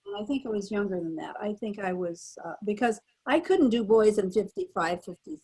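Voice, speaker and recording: A woman's voice: medium pitch, a little fast, darkish tone, poor audio. A woman in her eighties, a former actress, reminiscing casually as a panel guest.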